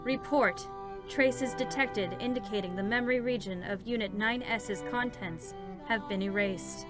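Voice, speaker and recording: A woman voicing a formal, unfeeling support robot, with clipped, procedural, deadpan delivery in a dramatic reading. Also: mid-range voice, unhurried, lightly acted. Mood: impassive. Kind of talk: dramatic reading of game characters. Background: music.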